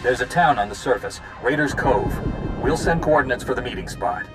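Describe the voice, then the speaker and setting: A man's voice: mid-range voice, lively intonation, a little fast, natural voice. A man playing a resolute ally in a sci-fi game, speaking firmly and forthrightly. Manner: firm, forthright. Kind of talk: dramatic sci-fi game dialogue. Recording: music behind the voice.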